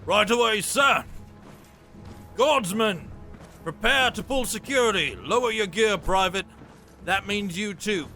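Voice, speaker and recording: A man's voice: sits high, bright, forceful tone, full character voice. A man playing a dutiful, dryly frank sergeant in a fantasy audio drama, with formal military address. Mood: barking orders.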